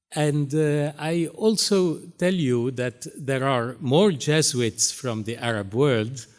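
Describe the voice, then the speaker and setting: Man, Arabic first language, from Syria and Lebanon, priest giving keynote, slow, halting, middle pitch. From Syria, raised in Lebanon. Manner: halting, many pauses and fillers. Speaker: Jesuit priest, keynote speaker. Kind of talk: keynote speech. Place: university hall stage.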